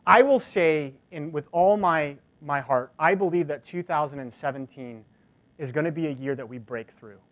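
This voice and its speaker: A man in his forties giving a casual, energetic conference keynote, chatty and full of "like" fillers, in a large lecture hall. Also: a little high, normal speed, highs cut off. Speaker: keynote speaker. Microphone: lapel mic.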